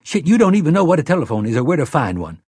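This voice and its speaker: A man voicing a harsh, crude, fierce woman who speaks in a rough dialect and brooks no argument. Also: low-pitched, very animated, racing, mellow, no room sound, voice pushed up, full character voice. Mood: menacing.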